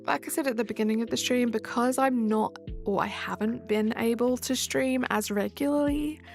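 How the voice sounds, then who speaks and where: A young woman talks casually into a desk mic as she plays a game live, answering her chat in an easy, friendly way. Her voice sits a little high for a woman. Soft music plays quietly behind her. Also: normal speed, creaky. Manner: chatty, reads and answers chat while playing. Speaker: live streamer. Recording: faint background music.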